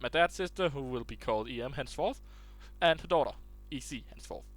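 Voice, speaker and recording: Casual male reader of online stories, mid pitch, stumbles over words now and then. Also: animated, quick pace. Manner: restarts and stumbles while reading aloud.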